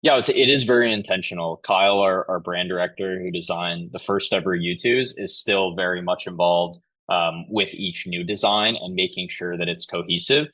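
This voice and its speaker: Man, deep voice, podcast guest, conversational, explanatory, measured. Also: fairly even intonation, bright, forceful tone. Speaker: podcast guest, company chief executive. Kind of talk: conversational interview answers.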